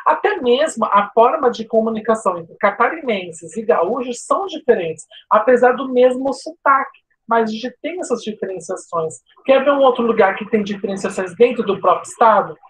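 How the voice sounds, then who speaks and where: Woman language teacher, coastal São Paulo accent, hissing S, slightly high voice, informal online class. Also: animated, normal speed, low-quality recording. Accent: Brazilian Portuguese, coastal São Paulo. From São Paulo state coast, Brazil. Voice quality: sibilant S. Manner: informal, chatty, frequent "né?" tags and restarts.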